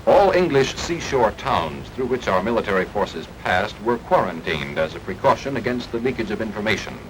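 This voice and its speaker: An American man narrating a 1940s wartime propaganda film from a script, in a formal, declamatory and emphatic way. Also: lowish voice. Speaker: newsreel-style documentary narrator. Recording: noisy archival 1940s film soundtrack.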